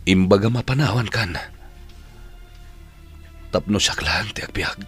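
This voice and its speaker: A man's voice: on the low side, measured pace, fairly bright tone, soft background music. A man acting in a radio drama as a bashful young fighter devoted to his brother, speaking earnestly and hesitantly. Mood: tender, emotional.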